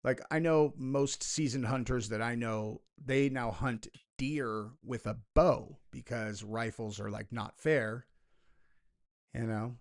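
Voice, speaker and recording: A man's voice: mid-range voice, slow. A young man hosting a casual reaction video, rambling and sarcastic, with lots of "like" and "you know". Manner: rambling, sarcastic, heavy filler words. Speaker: commentary video host.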